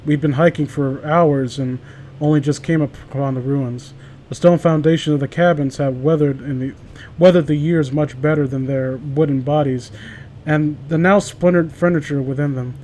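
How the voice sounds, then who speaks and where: A man's voice: fairly high pitch, fairly even intonation, normal speed, darkish tone, slight background noise, rough recording. A man chatting casually among friends and reading stories aloud, stumbling over words as he reads. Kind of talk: casual group banter and amateur story reading.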